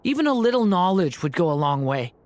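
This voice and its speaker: A woman's voice: fairly low pitch, very animated, brisk, a little mellow, no echo. A woman playing an earnest, polite youth in a video game. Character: earnest, soft-spoken youth eager to learn.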